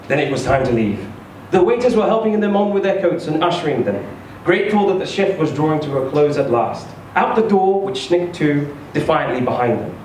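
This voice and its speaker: A man reading a short story aloud in an expressive, theatrical, emphatic storytelling style. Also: a little high. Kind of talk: expressive live literary reading. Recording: echoey room, distant mic, faint noise.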